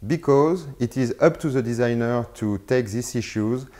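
A man's voice: mid-range voice, normal speed, darkish tone. A man in his forties giving a scripted promotional presentation to camera as a department head, recorded indoors. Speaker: head of a design school department. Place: indoor room with bookshelves.

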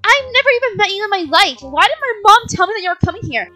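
A woman's voice: very high voice, very animated, racing, bright, forceful tone, full character voice. A woman voicing an exasperated young schoolgirl, whiny and incredulous, in an amateur gaming roleplay. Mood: indignant. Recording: background music under the voice.

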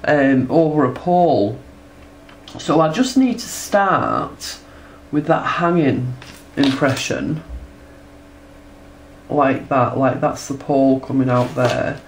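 A woman's voice: low voice, slight background noise. A woman with a cold, chatting casually as she demonstrates her textile art and sketches, often asking tag questions. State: congested from a cold. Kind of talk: casual tutorial narration while drawing. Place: indoors at a table.

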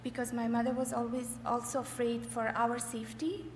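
A middle-aged woman from Afghanistan giving a personal testimony in short phrases, hesitant and often pausing. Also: a little high, flat delivery. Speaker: church member giving testimony. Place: large echoey hall. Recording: echoey hall with faint noise.

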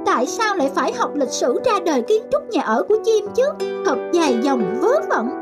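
A woman's voice: very high voice, big pitch swings, fairly quick. A woman voicing a proud, impatient young sparrow chick in a cartoon, childlike and self-important. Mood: scornful. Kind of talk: cartoon voice acting. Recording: music behind the voice.

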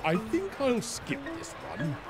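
A man's voice: high voice, huge pitch swings, unhurried, full character voice. Jolly cartoon dad pig, really swoopy delivery, takes his time, music under it. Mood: doubtful. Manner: jovial, hearty. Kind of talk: children's cartoon game acting.

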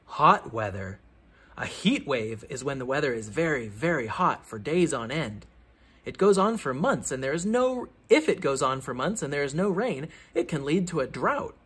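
A man reading a children's science book aloud like a teacher, with theatrical emphasis and clear explanatory phrasing. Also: fairly high pitch, sing-song, moderate pace, fairly bright tone.

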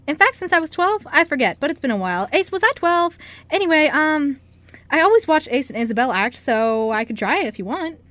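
A woman's voice: high-pitched, sing-song, a little fast, fairly bright tone, dry recording. A woman playing a bubbly, impulsive young stuntwoman who gushes and rambles, in an amateur radio play. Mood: rambling, eager. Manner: gushing, rambling.

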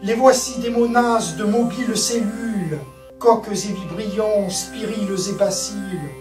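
Woman's slow, distant, solemn poetic declamation. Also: mid-range voice. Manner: grave, measured, emphatic phrasing. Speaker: reciter of an epic poem. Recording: roomy echo, distant voice, music. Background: prominent musical backing.

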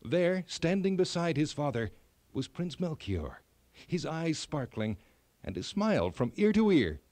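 A man narrating a children's fairy tale in an expressive, measured storytelling style. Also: on the high side, no room sound.

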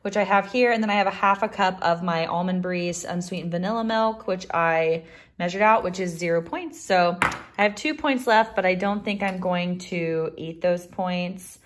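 A woman's voice: on the low side, moderate pace, a little hiss or hum. A young woman chatting casually to viewers in an upbeat vlog, talking while she eats in her home kitchen. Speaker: vlogger.

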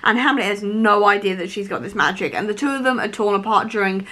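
A young woman in her twenties chatting to camera about upcoming books, her voice a little rough because she is ill and losing it, with lots of "really, really". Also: medium pitch, quick pace, pushed and bright. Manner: chatty, repeats "really, really" for emphasis. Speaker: book vlogger. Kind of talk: casual book chat to camera. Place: small room, seated on a sofa.

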